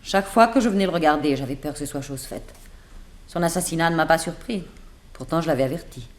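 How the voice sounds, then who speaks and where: A woman's voice: low-pitched, fast talker, a little hiss or hum, lightly acted. An actress playing a guarded, defiant undercover woman posing as a housemaid in a 1960s French radio drama, terse and intense. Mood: confessing.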